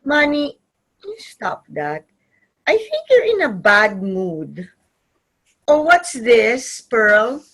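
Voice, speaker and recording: A woman acting a gentle, placating wife and mother in a staged play reading. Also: a little high, big pitch swings, slow pace, long pauses, slightly rough, exaggerated voice, low-quality recording. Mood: pleading. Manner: soothing, hesitant.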